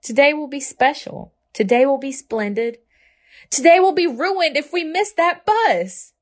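Devoted picture-book mom, read by a woman, emphatic and expressive, slow measured delivery, voice lifting. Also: high voice, voice pushed up. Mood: reassuring, then frantic. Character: devoted, reassuring storybook mother who gets flustered.

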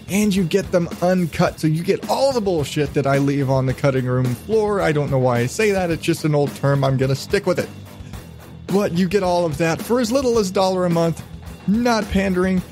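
A man's voice: high voice, a little mellow. Rambling, irreverent man hosting a podcast. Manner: rambling, irreverent, self-mocking. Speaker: podcast host. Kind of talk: casual, profane podcast chat.

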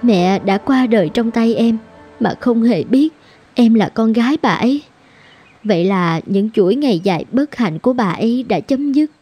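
A woman's voice: a little high, lively intonation, moderate pace, mellow, husky, faint music behind. A woman playing an earnest, emotive young nurse and mother, tender and sorrowful, in a cartoon drama. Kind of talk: dramatic cartoon dubbing in Vietnamese.

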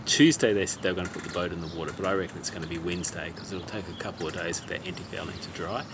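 A man's voice: deep voice, rapid-fire, gravelly. A man hosting a sailing vlog, chatting casually outdoors by a seaside harbour. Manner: relaxed, chatty, thinking aloud. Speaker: vlog host. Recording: loud outdoor noise.

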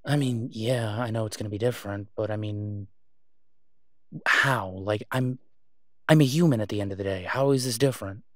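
A man playing a worried, devoted boyfriend in an audio roleplay, speaking haltingly and earnestly, as in intimate conversation. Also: on the low side, fairly bright tone, dry recording, clean studio sound, close to an everyday voice. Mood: incredulous, uneasy.

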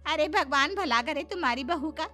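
A woman playing a nosy, excitable middle-aged neighbour in a moral-story cartoon, gossipy and full of exclamations. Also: high voice, moderate pace, faint music behind. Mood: complaining.

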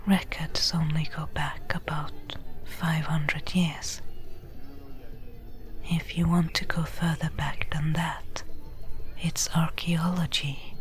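A woman playing a friendly, seasoned desert trader in a soft, breathy, gentle whispered ASMR roleplay. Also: low voice, little pitch movement, soft background music. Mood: calm, friendly. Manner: slow, gentle, unhurried.

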